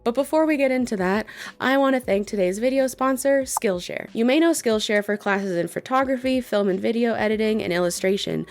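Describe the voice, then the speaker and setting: A young woman with a phlegmy voice from being sick, talking casually at her desk at home as a vlogger, with lots of filler words and giggles. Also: medium pitch. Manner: chatty, filler-heavy, giggly. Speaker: YouTuber. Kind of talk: casual commentary vlog.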